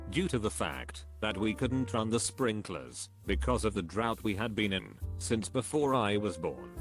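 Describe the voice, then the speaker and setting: Brief: low male narrator reading posts, flat and even, music underneath. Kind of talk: scripted narration. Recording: voice-over with clear background music. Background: music bed.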